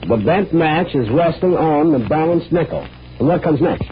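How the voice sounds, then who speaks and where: A man's voice: a little high, animated, moderate pace, faint music behind, lightly acted. A man playing a good-natured, easily amazed sidekick to a magician in old-time radio drama style. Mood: curious. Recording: muffled vintage radio recording.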